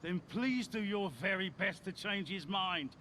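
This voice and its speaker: High-voiced, jovial team boss. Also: male voice, a little slow, noisy background. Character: jovial, rambling male racing team boss. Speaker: team boss giving a speech. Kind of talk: after-dinner speech in game cutscene.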